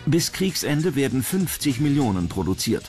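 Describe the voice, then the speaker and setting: A man's voice: medium pitch, big pitch swings, moderate pace, a little mellow. A man with a standard German accent reading scripted documentary narration. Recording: music bed behind narration. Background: music.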